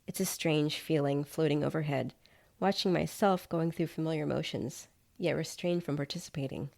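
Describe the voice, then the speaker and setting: Low-pitched woman reading fiction, creaky voice. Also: fairly even intonation, moderate pace, a little mellow. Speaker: author reading own short story. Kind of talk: scripted first-person fiction narration.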